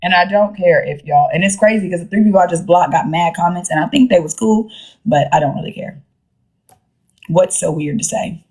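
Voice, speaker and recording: Sassy, blunt woman with a lowish voice. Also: a little fast, darkish tone, slightly rough, rough recording. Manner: blunt, sassy, profane, slangy. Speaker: livestream host. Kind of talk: casual live-stream chat.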